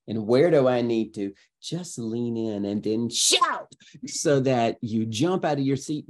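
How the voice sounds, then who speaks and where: A man giving conversational interview answers as a guest educator, expressive and emphatic like a storyteller, acting out his examples. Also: mid-range voice, husky.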